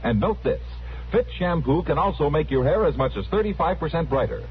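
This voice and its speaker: A man's voice: on the high side, expressive, a little fast, a little hiss or hum. A man announcing on 1950s radio in a polished, declamatory scripted style. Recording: dull vintage radio recording with music.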